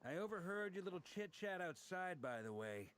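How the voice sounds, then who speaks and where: A man's voice: high voice, sing-song, normal speed, fairly bright tone. A man playing a wry, articulate, sociable young man in a video game. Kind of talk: video game character dialogue. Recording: faint noise from game stream.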